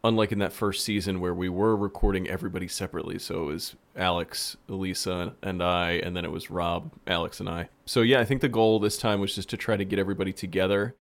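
A man's voice: deep voice, level tone, fast, few pauses. A man casually explaining things as a producer in a podcast conversation. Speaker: producer, director.

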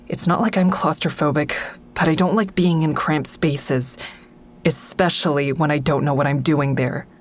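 An actress playing a guarded, agitated, sardonic woman who narrates and speaks in an audio drama. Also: low-pitched. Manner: sardonic, exasperated. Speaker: narrator and lead character. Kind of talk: first-person audio drama narration and dialogue.

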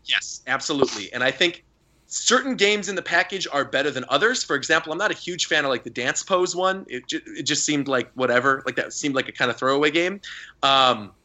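A young man co-hosting a podcast, telling stories with excitement and laughing often. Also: on the high side, a little fast. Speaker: podcast co-host. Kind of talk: casual podcast chat.

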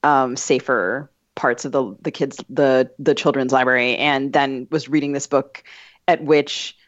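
A woman's voice: very deep voice, steady tone, measured pace, no echo. Female podcast co-host, reflective conversational storyteller, close and dry, slightly dull audio. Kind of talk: casual conversational anecdote.

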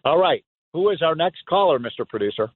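Male radio host, fairly high voice, dry tinny phone sound, emphatic and excitable. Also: a little fast, no echo. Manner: emphatic, excitable, laughs often. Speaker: radio talk show host. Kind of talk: call-in talk radio.

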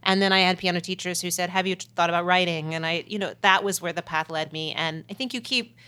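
A woman's voice: on the low side, fairly even intonation, quick pace, pushed and bright, clean tone. A woman songwriter, a guest in a casual interview, talking in a candid, reflective way with some self-mocking humor.

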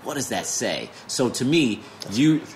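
A man's voice: medium pitch, very animated. A man in his thirties hosting a casual conversational interview, informal and salty, restarting his phrases as he explains things. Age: 30s. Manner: informal, profane, halting restarts when explaining. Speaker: podcast host. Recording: noticeable background noise.

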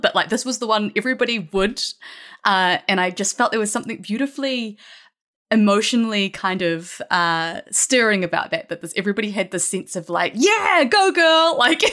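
A woman's voice: medium pitch, pushed and bright. A woman chatting informally and with enthusiasm as a writer being interviewed. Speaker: writer and lyricist interviewed as a guest. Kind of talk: casual interview chat.

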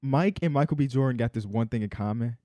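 A man's voice: medium pitch, fast talker, dark tone. A man co-hosting a podcast with casual, teasing, colloquial talk. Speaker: podcast co-host. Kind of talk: casual chat.

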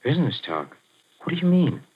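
An actor playing a nervous, sly middle-aged European impresario who stammers and hesitates, breaking into nervous little laughs, in 1940s radio acting. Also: male voice, fairly high pitch, sing-song, brisk, breathy edge. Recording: muffled 1940s radio broadcast.

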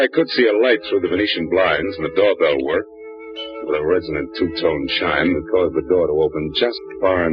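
A sardonic wisecracking private eye. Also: male voice, a bass voice. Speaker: detective narrator. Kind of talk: 1950s radio drama acting. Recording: dull old radio recording with music.

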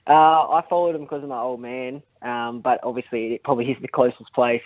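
A man from country New South Wales with an Australian accent and a rather high voice, talking easily as a guest on a phone line, with lots of fillers. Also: steady tone, fairly quick, no echo. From Central West of New South Wales, Australia. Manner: easygoing, many fillers and restarts. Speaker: football player guest. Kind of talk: phone interview on sports radio. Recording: dry tinny phone line.

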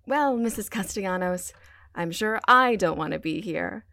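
A woman's voice: medium pitch, expressive, moderate pace, bright, forceful tone, dry recording. An actress playing a grudging, sarcastic young woman sent to do community service, in an audio drama. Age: young adult.

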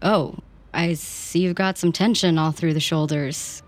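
An actress playing a soothing, chatty female acupuncturist with a gentle, coaxing manner in an audio drama. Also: low voice, steady tone, unhurried.

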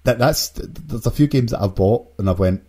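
Low, very mellow male podcast host. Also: brisk. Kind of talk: casual chat.

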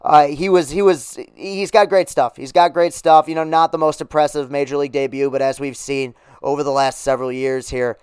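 A man hosting a casual sports podcast, upbeat and rambling with fillers, his voice a little hoarse. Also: a little high, fairly even intonation, fairly quick, hardly any pauses, darkish tone, dry recording, clean studio sound. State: losing his voice. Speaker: podcast host.

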